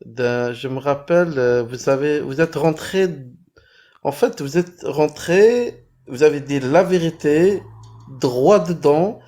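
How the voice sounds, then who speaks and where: A man chatting as a guest on a livestream, halting and supportive, often searching for words. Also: fairly high pitch, dark tone. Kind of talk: casual livestream chat.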